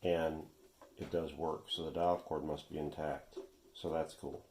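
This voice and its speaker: A man's voice: a bass voice, little pitch movement, a little slow, a little mellow. A man casually talking viewers through a hands-on workshop demonstration, unscripted and half muttering to himself as he works. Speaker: vintage radio repair video host. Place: indoor workshop. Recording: echoey room, faint background noise, clicks.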